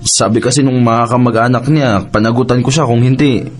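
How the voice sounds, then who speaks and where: A man's voice: mid-range voice, fairly even intonation, brisk, close to an everyday voice. Man acting as sheepish young Filipino brother. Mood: sheepish, troubled. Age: young adult. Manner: hesitant, deferential. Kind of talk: Tagalog radio drama acting.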